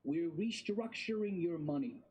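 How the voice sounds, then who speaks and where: A man's voice: on the high side, expressive, measured pace, a little mellow, a little hiss or hum, low-quality recording. A man in his thirties from Bosnia and Herzegovina, a guest talking casually and emphatically, repeating his words. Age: 30s. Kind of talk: casual interview talk.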